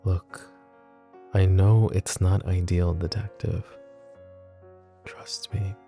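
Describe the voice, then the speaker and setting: Low, gravelly mafia boss acted by a man. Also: level tone, slow pace, mellow, faint music behind. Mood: grave, protective. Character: possessive, flirty mafia boss, devoted and teasing. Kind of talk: intimate ASMR roleplay addressed to the listener.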